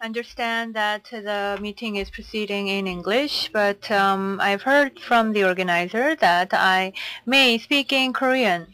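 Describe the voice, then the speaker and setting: A woman interpreting a conference talk into English, steady and formal, with frequent fillers. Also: medium pitch, a little slow, low-quality recording.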